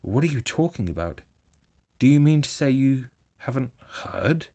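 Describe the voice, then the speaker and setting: A man's voice: lowish voice, unhurried, a little mellow, close to an everyday voice. Stern, sensible storybook water rat, voiced by a man narrator; earnest scolding. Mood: excited.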